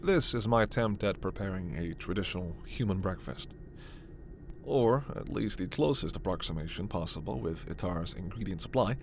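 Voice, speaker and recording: A man playing a gentle, scholarly, protective alien doctor in a sci-fi ASMR roleplay, speaking in a measured, formal, precise way. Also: low voice, lively intonation, vocal fry, noisy background. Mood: kindly, attentive.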